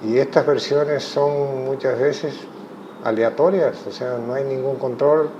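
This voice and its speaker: A Cuban man, a chess coach, giving thoughtful interview answers in a measured way with frequent pauses. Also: mid-range voice, fairly even intonation. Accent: Cuban Spanish. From Cuba. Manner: measured, thoughtful, many hesitations. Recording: muffled audio with clear background noise.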